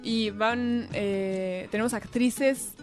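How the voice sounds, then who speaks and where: A woman's voice: a little high, fairly even intonation, slow pace, faint music behind. A woman with a Rioplatense Argentine accent, chatting casually as a radio columnist, playful and fond of exaggeration.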